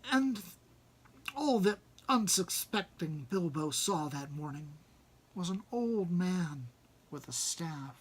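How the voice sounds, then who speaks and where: Expressive male storybook narrator. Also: sits high, takes it slowly, breathy edge. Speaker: audiobook reader.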